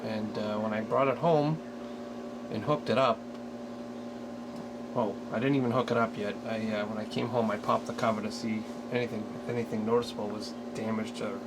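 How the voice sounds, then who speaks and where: Man, lowish creaky voice, casual hobbyist walkthrough, hesitant and rambling, matter-of-fact. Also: normal speed. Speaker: radio hobbyist showing a restoration project. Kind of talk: casual unscripted show-and-tell. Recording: loud steady background hum and noise.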